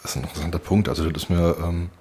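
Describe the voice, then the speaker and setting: A man hosting an interview podcast, asking questions calmly and reflectively and often murmuring agreement. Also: deep voice, very fast, slight background noise. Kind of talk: casual interview talk.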